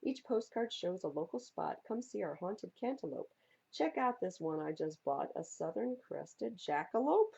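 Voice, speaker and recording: A woman reading a picture book aloud at a library storytime in a playful, theatrical way, with a husky voice. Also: on the low side, sing-song, unhurried, darkish tone, lo-fi sound. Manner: playful, theatrical, stresses and repeats words for young listeners. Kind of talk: expressive read-aloud of children's picture books.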